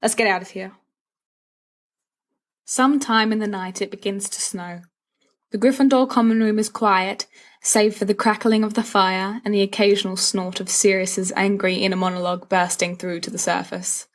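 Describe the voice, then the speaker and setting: A woman's voice: mid-range voice, steady tone, moderate pace. A woman narrating a story from a script, reading expressively as for an audiobook. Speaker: audiobook reader of fan fiction.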